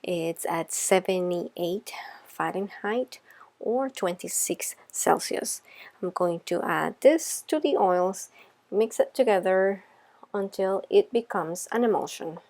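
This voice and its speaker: A woman's voice: medium pitch, slow, a little mellow. A woman from El Salvador with a Salvadoran Spanish accent, narrating a craft tutorial as its host. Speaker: craft video host, soap maker.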